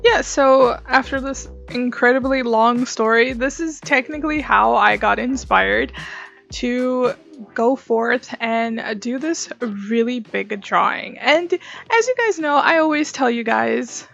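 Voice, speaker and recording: Young woman, high voice, slow chatty delivery, breezy and gushing, casual story-time host over music. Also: gravelly, a bit bright, highs cut off.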